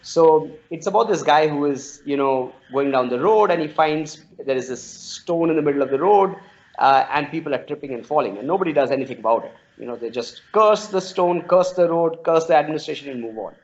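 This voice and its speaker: A man's voice: fairly high pitch, fairly quick. A man in his forties with an Indian accent answers interview questions with anecdotes, often repeating words as he explains. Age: 40s. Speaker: interviewee, storytelling non-profit founder. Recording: dull lo-fi audio.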